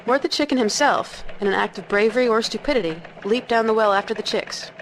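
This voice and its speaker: Woman playing mock-serious cartoon hen anchor, highish voice, deadpan newscast over noticeable noise. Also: fast talker, natural voice. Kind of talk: parody newscast delivery.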